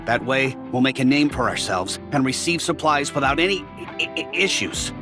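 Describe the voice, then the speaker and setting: A man's voice: mid-range voice, lively intonation, normal speed, music in the background, natural voice. A man playing an irritable, defensive military lieutenant who stutters on his words in a video game story. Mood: angry, defensive. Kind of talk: voiced game story dialogue.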